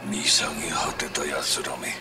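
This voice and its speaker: Very deep, imperious old Japanese patriarch. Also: male voice, completely flat delivery, fairly quick. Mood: scornful. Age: older. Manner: cold, scornful, commanding. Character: stern, imperious old patriarch of a corporate empire. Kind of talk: video game cutscene acting. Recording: loud music behind the voice.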